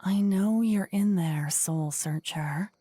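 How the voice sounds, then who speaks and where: A woman playing an indignant, blunt young necromancer rival in a fantasy ASMR roleplay, hushed and insistent. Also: mid-range voice, slow, slight background noise, natural voice. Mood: angry. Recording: close dry ASMR microphone.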